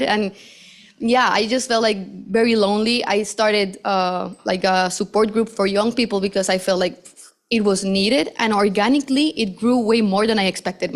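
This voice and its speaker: A young woman in her twenties from Costa Rica, sharing her own story on a conference panel in a casual, chatty way full of fillers. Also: mid-range voice. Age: 20s. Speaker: patient panelist. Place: conference hall.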